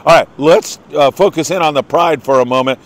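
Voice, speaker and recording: A man's voice: a little high, fairly quick. An older American man hosting a casual fishing report, chatty, folksy and enthusiastic. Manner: chatty, enthusiastic, folksy, congratulating people by name. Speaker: host of a fishing report show.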